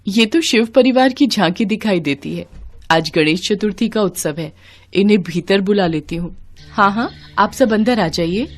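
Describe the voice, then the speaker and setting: A woman's voice: mid-range voice, expressive, normal speed, soft background music, close to an everyday voice. A woman playing a poor, humble, devout wife and mother in a devotional story. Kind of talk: dramatic devotional story acting.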